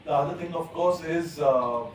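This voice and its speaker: Guy in his 50s at a panel table, lots of uhs, voice a bit high, big echoey hall. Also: slight background noise. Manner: measured, many fillers and pauses. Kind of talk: conference panel talk. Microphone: table gooseneck mic. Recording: echoey hall, distant from the mic.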